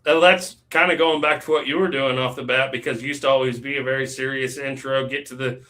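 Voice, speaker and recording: A man's voice: medium pitch, fairly even intonation, quick pace, pushed and bright. A man talking as a guest on a casual live stream, chatty, with lots of fillers and easy laughs.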